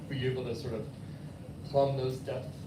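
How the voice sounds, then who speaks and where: A man's voice: medium pitch, flat delivery, moderate pace. A man asking a casual question from the audience at a book event. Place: bookstore event room. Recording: echoey room, distant mic, noisy.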